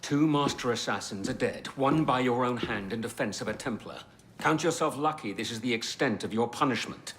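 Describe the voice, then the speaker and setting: A severe male elder of an order , played by an actor with a medium-pitched voice. He admonishes coldly in game drama style, with faint noise behind him. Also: moderate pace, fairly bright tone, natural voice. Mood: stern reproach. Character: severe, reproachful male assassin council member. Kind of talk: dramatic video game voice acting.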